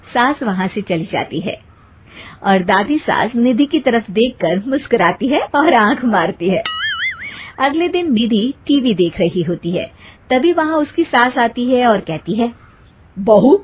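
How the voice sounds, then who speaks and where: A woman's voice: on the high side, very animated, a little slow, a little hiss or hum. A woman narrator telling a scripted cartoon family story in the present tense.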